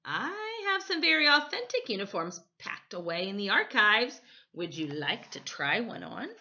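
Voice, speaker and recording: Woman reading as a musing, lively museum expert. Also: medium pitch, moderate pace, dull audio, exaggerated voice. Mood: inviting. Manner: musing, inviting. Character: tall man, musing Civil War collector and expert.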